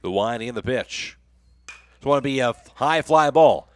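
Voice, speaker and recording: A man's voice: medium pitch, lively intonation, normal speed, clean tone, no room sound. An American man doing live radio play-by-play of a baseball game as the announcer. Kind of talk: live sports commentary.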